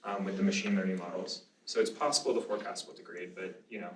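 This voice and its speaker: A man's voice: low voice, fairly even intonation, quick pace. A man speaking informally as a lecturer answering questions after a talk, in a casual, conversational way. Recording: roomy lecture sound, distant mic, faint noise.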